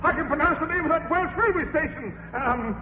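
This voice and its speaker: A man playing an excitable, gullible young hero in a radio comedy, stammering and giggling. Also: very high-pitched, brisk, muffled recording. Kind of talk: 1950s radio comedy acting. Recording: old radio broadcast, distant mic, echo, hiss.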